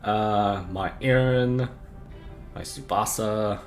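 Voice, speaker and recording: A man's voice: on the low side, takes it slowly. A man with a sore throat rambles through a casual live game stream, often correcting himself mid-sentence. His voice is plain and somewhat droning, and it echoes around the room with faint music playing behind him. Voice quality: monotonous, droning. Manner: rambling, self-correcting, chatty.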